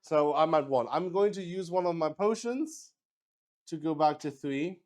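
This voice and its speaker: A man's voice: on the high side, unhurried, darkish tone. A man hosting a game in casual improvised chat, thinking aloud and swearing with exclamations. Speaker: solo roleplay player and host.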